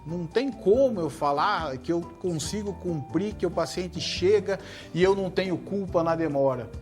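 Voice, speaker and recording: A middle-aged Brazilian man, a surgeon guest, explaining in a measured way and often tagging his points with "né?". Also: high-pitched, a little mellow, faint music behind. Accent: Brazilian Portuguese. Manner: measured, tag questions like "né?". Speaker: head and neck surgeon guest. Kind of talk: explanatory interview answers.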